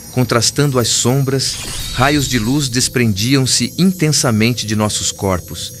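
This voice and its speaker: A man narrating a literary audiobook in a formal, earnest, measured way. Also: lowish voice, fairly bright tone. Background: clear background music.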